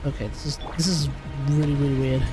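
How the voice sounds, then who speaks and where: A man's voice: a little high, flat delivery, fast talker, poor audio. An Australian man doing casual live game commentary, rambling and thinking aloud in slangy talk. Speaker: game streamer. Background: game music.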